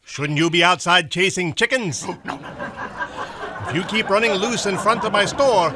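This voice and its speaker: A man's voice: high voice, normal speed, natural voice. A man playing an amused, scolding grocery storekeeper in an old-time radio drama. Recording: noisy, with dog sounds behind.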